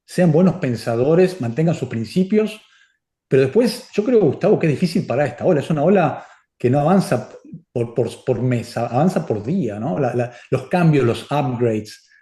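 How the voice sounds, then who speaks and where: A middle-aged man whose first language is Spanish, answering audience questions in a casual, animated way with many restarts. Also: mid-range voice, moderate pace, roomy sound. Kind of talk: casual Q&A talk.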